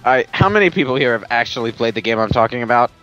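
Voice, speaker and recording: A man's voice: mid-range voice, expressive, quick pace, pushed and bright, dull audio. A man giving joking, casual commentary on a video game in a rambling, exasperated manner. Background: game music.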